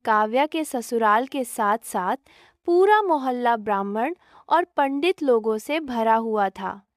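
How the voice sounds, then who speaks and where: A woman's voice: a little high, dry recording, studio quality. A woman narrator reading a scripted story.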